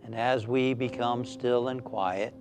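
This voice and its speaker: A man leads a guided meditation in a soothing, medium-pitched voice. He speaks very slowly and calmly, leaving long pauses between short phrases. Soft music plays faintly behind him. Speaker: minister leading a guided meditation.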